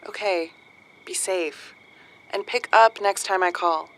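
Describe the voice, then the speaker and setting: A woman's voice: mid-range voice, slow pace, natural voice, poor audio. A woman playing a brusque but caring commander of hired guns in an audio drama, dry, direct and conversational. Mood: concerned.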